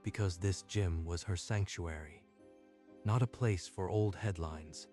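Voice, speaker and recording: A man playing a calm, wise, protective older gym keeper who speaks in a measured, grave way in a dubbed comic story. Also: sits low, faint music behind. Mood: solemn, reassuring.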